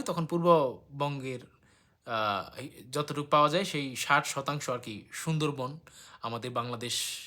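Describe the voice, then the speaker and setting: A man explaining things informally in a discussion, hesitant and agreeable, with frequent filler pauses. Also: on the high side, sharp tone. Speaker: talk participant.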